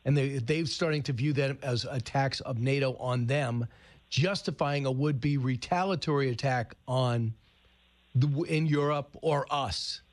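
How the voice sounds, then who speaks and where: A man's voice: medium pitch, a little slow. This is a man hosting a current-affairs radio interview. His voice sits in the middle of the male range. He speaks conversationally, with casual, sporty phrasing as he frames his questions. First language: English. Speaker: radio show host and interviewer.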